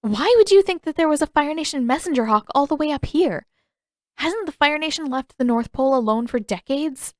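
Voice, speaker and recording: A woman's voice: high-pitched, lively intonation, a little fast, darkish tone, no echo, studio quality, voice pushed up. A woman voicing a defiant, flustered teenage girl who argues emphatically in a narrated fantasy story. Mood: flustered, defensive. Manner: indignant, emphatic.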